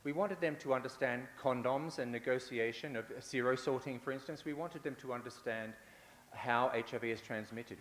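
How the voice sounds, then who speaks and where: A man giving a conference talk, building his points with repeated phrases and the odd filler or restart. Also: mid-range voice. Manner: repetitive, rhetorical, with fillers and restarts. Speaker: conference speaker. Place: large conference hall. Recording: echoey hall with faint hiss.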